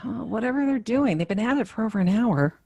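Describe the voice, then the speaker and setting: A woman playing a polite, reserved middle-aged mother in a family radio drama, with a husky voice. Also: fairly high pitch, expressive, fast talker, a little mellow. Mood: mildly puzzled. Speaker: actor in a radio play.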